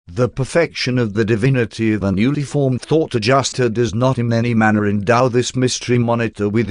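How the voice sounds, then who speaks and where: A man's voice: medium pitch, no room sound. Man formally narrating, dry close sound. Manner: formal, measured, carefully enunciated. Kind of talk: scripted audiobook-style reading of a religious text.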